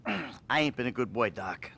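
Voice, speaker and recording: A man playing a troubled middle-aged American criminal in a video game, rambling, swearing and justifying himself in a husky voice. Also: medium pitch, a bit bright, heavily acted. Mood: remorseful, unsteady. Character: troubled, volatile middle-aged male career criminal confessing in therapy. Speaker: therapy patient. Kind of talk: naturalistic video game cutscene acting.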